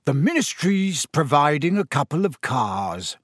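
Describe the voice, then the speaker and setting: A man's voice: fairly high pitch, big pitch swings, a little slow, dry recording, clean studio sound. A man voicing an earnest middle-aged father of a big family in a storybook. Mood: casual. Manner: casual, heated when arguing.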